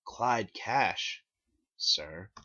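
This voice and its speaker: Knowing male cashier, voiced by a man: polite, assured, forceful, dull lo-fi recording. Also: fairly low pitch, expressive, drawn-out words, exaggerated voice. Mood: knowing, obedient. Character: smirking, deferential male store cashier, knowing.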